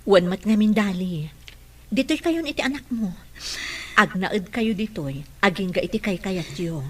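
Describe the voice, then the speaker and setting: A woman with an Ilocano Filipino accent playing a gentle, anxious middle-aged mother in a radio drama, earnest and emotive. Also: mid-range voice, animated, unhurried. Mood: reassuring.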